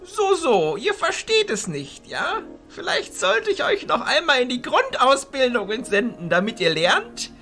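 A man acts an elderly archchancellor in a fantasy audio play, stern yet protective. The voice is bright and forceful, swinging widely in pitch, with deliberate, emphatic phrasing. Soft music plays underneath. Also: wildly swooping pitch, exaggerated voice. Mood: stern, reproving. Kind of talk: German fantasy audio drama acting.

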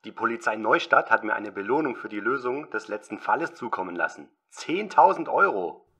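A man playing a gruff, jovial, crude older film director in an audio drama parody. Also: on the low side, expressive, fairly quick, a bit bright. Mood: gleeful. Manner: boisterous, crude, bluntly teasing.

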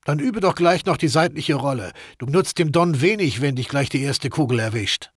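A man's voice: fairly high pitch, normal speed, slightly rough, no echo, clean studio sound. A man voicing a matter-of-fact, middle-aged mafia weapons man in a video game, with an instructive, streetwise manner. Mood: businesslike.

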